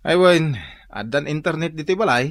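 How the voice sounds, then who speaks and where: Sly, swooping Ilocano husband. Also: male voice, a little high, animated, normal speed, a bit bright, no echo. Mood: flustered, evasive. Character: sly, evasive philandering husband, jumpy when questioned. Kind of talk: Ilocano radio comedy-drama acting.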